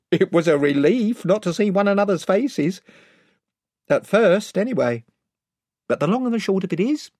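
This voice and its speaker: A man's voice: a little high, a little mellow, no echo, clean studio sound, voice pushed up. A man voicing a bumbling, long-winded male village chief who is invisible, talking in a folksy, rambling way. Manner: rambling, folksy, comically polite threats. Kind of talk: audiobook reading.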